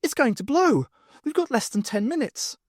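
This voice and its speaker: A man voicing a frantic woman starship navigator in an audiobook, in a shrill, panicky manner. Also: sing-song, normal speed, dry recording, clean studio sound, heavily acted. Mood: panicked.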